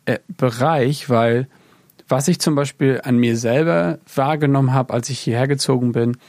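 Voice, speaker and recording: A man's voice: medium pitch, normal speed, darkish tone, no echo, clean studio sound. A man from Germany talking reflectively in a casual podcast conversation, thinking aloud with many fillers. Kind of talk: casual conversation about worship music.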